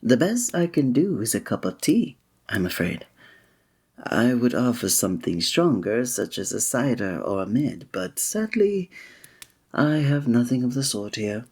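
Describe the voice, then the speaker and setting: A man's voice: mid-range voice, sing-song, measured pace, a little mellow, breathy edge, full character voice. A man playing a flirtatious, courteous innkeeper in a fantasy village, speaking formally in a scripted ASMR roleplay addressed to the listener. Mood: hospitable, wry. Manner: formal, old-fashioned, teasing, suave diction.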